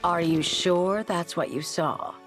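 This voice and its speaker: A woman voicing a protective female plant villain in an animated video game, languid and tender with a fierce edge. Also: fairly low pitch, slow pace. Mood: soothing. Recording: loud music behind the voice.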